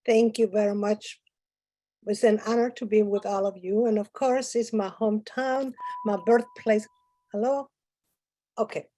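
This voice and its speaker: Greek-accented woman, mellow and dry. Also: medium pitch, steady tone, measured pace, long pauses, dry recording, poor audio. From Greece. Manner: hesitant, with many fillers and broken phrasing. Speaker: guest speaker. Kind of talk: conference presentation.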